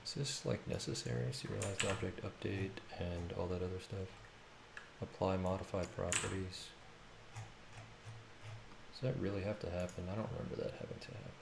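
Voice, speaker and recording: A man with a low, creaky voice talks casually and half to himself while coding. The room is echoey, with clear noise, typing and mouse clicks around him. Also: fairly even intonation, moderate pace, pauses often. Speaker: game developer streaming his work.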